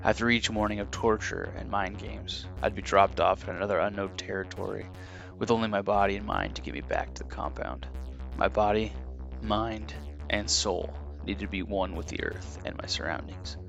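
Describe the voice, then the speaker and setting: Gravelly, solemn haunted warrior. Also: male voice, lowish voice, fairly bright tone, lo-fi sound, faint music behind. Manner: solemn, deliberate phrasing. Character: grave male ex-disciple warrior with tortured past. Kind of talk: dramatic audio drama monologue.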